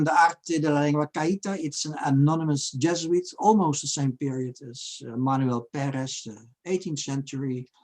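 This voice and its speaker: A man in his sixties with a Dutch accent, giving a halting academic seminar lecture over a video call and reading out quoted passages. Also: mid-range voice, a little mellow. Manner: halting, many fillers, reads Spanish quotes aloud.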